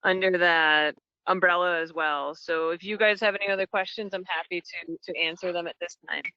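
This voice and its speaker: A man's voice: high-pitched, steady tone, a little fast, sharp tone, clear, resonant tone, no echo, lo-fi sound. A man wrapping up a point on a conference call, a little hesitant, repeating words.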